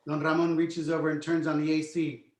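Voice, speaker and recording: A man's voice: a little high, little pitch movement, brisk, echoey room. A man narrating a screenplay's stage directions at a table read, in present tense.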